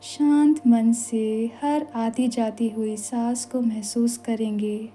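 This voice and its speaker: Meditation instructor: Indian woman, slightly high but relaxed voice, soothing and unhurried, long pauses. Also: rough recording. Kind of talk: guided meditation in Hindi with some English phrases. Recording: voice over background music. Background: meditation music.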